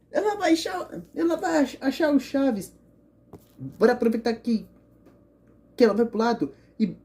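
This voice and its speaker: A man voicing excitable cartoon characters in an amateur parody skit, calling out in repetitive exclamations. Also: extremely high pitch, pitched above the performer's own voice, heavily acted. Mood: searching. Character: excitable cartoon characters, children and a scolding mother. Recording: music behind the voice. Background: music.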